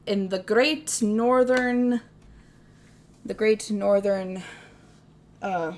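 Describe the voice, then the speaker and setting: A woman's voice: medium pitch, very slow, a little mellow. A young woman with a Midwestern accent, chatting casually on a livestream, rambling and self-deprecating, laughing often. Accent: Midwestern American. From American Midwest. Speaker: streamer.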